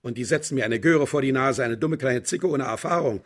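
An actor in a German radio play voicing a grumpy, vain aging official, curt and disdainful. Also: male voice, mid-range voice, a little fast, natural voice. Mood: indignant. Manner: curt, muttering, disdainful, indignant when challenged. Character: grumpy, vain, aging male official sorting human candidates.

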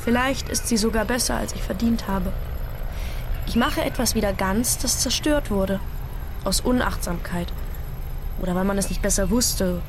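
A woman's voice: medium pitch, normal speed, noisy background, close to an everyday voice. An actress playing a reflective, lonely woman scientist in a radio drama, confiding in short, musing sentences. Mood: pensive, regretful. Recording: music behind the voice.

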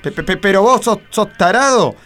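Anxious male client played by a man, badly stammering, slightly high voice, Argentine accent, radio comedy. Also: expressive, normal speed, a bit bright. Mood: alarmed, indignant. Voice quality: heavy stutter. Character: nervous, stammering, anxious boyfriend who hired a detective. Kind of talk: comedy radio play dialogue.

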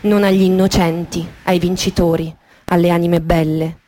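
A woman gives a dramatic literary reading in the manner of a radio theatre monologue, intimate and poetic, with deliberate pauses. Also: on the low side, little pitch movement, warm tone, a little hiss or hum. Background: music with guitar and sound design.

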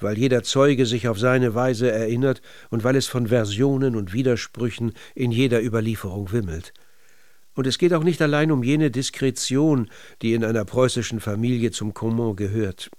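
A man narrating a literary radio documentary in a measured, sober manner. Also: mid-range voice, darkish tone, dry recording, studio quality.

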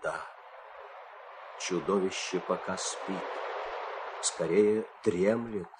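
A man narrating a fairy tale as a dramatic storyteller, expressive and suspenseful, with deliberate pauses. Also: low voice, noisy background.

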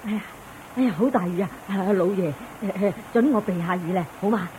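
A woman's voice: mid-range voice, a little fast, noisy background, lightly acted. A woman playing a worried, pleading farm woman in a Cantonese radio drama. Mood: anxious. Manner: anxious, whiny, pleading.

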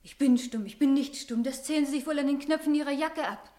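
A woman's voice: high-pitched, fairly quick, warm tone, natural voice. An actress playing a spirited, teasing young woman in a radio play, pert and mocking. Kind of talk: German radio play acting.

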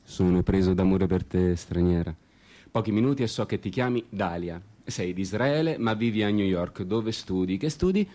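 An actor playing an ironic, lovestruck storyteller in a literary radio play, speaking in deliberate, measured phrases. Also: male voice, sits low, lively intonation, dark tone. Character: ironic, disenchanted male storyteller, lovestruck drinker in Prague. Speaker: actor and narrator. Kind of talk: literary radio theatre monologue with sung passages. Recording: guitar music behind the voice.

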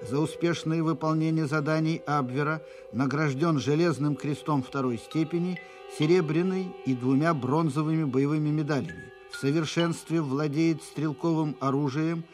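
A military dispatch reader, voiced by a male actor in a radio drama. His voice is a little high, his delivery official, precise and impersonal. Soft music plays underneath. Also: natural voice. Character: formal military reader of urgent coded dispatches. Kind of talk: scripted document reading in radio drama. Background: faint music.

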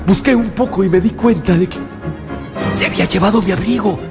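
Radio drama role: haunted young scientist, agitated and halting, fairly high register, prominent score underneath. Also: male voice, vocal fry. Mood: haunted recollection. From Geneva, as the figure. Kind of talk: radio drama acting and first-person narration. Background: music.

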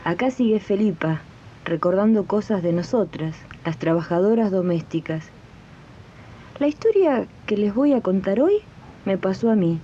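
A woman's voice: expressive, normal speed, dark tone, close to an everyday voice. A woman playing a friendly working-class Argentine domestic worker in a 1980s radio drama, confiding directly in her fellow workers. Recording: muffled, noisy 1980s archive radio tape with rumble.

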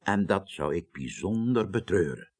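Radio play role: fussy, paternal man in authority, very animated pitch, formal phrasing. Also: moderate pace, heavily acted. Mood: formal, indignant. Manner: fussy, interrupting, formally polite. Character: fussy, paternal, formal male senior official. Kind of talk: Dutch radio drama acting.

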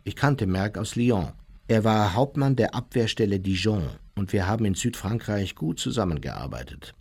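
An actor playing an unrepentant Nazi war criminal who recounts his life in a measured, matter-of-fact radio play memoir. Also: lowish voice, expressive, gravelly, darkish tone, husky, heavily acted. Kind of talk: radio play first-person memoir narration.

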